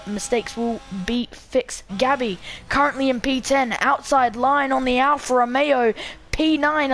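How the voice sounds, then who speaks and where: A woman commentating live on a sim race, her voice slightly croaky from being sick. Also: fairly high pitch.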